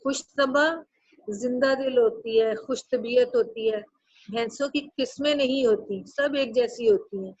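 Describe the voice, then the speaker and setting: Woman teacher, Pakistani Urdu accent, fairly high voice; reads and explains line by line, dry and slightly dull recording. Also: measured pace, a little mellow, husky, no room sound. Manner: reads a line aloud, then restates it in plainer words; jumps to a high pitch when stressing a point. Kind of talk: reading and explaining a text to a class. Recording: dull, muffled sound.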